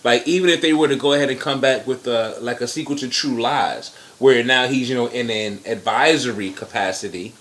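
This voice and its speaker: A man giving blunt, profane opinions in casual chat as co-host, with sudden jumps in pitch. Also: fairly quick, hardly any pauses, a bit bright.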